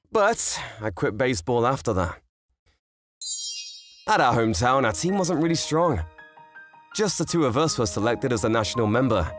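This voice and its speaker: Guy doing casual cocky teen boy from a manga dub, expressive pitch, soft music behind. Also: natural voice. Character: cocky, good-natured teenage boy, former ace baseball pitcher. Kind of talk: manga dub narration and dialogue.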